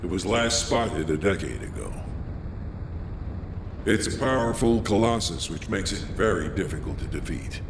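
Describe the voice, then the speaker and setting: A low, gravelly male commander briefs with grave, steady deliberation. He sounds like a serious military leader in a video game, with echo around his voice. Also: noisy background. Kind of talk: scripted video game dialogue.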